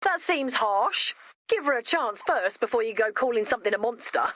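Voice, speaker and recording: High-pitched, eager young mad inventor. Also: female voice, animated, normal speed, a bit bright, no room sound. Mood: gleeful, eager. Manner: enthusiastic, emphatic, matter-of-fact about creepy things. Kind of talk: animated video game voice acting.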